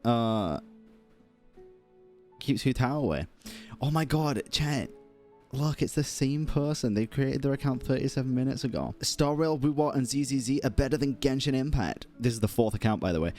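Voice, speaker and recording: A man's voice: medium pitch, expressive, moderate pace, a little mellow, soft background music. A young man with a British accent giving casual, blunt, sweary live reaction commentary as a game streamer.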